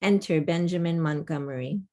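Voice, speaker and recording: A woman narrates a script's stage directions. Her voice is a little low. It sounds like a table read held over a video call. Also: a little fast, warm tone. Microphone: home microphone on a video call.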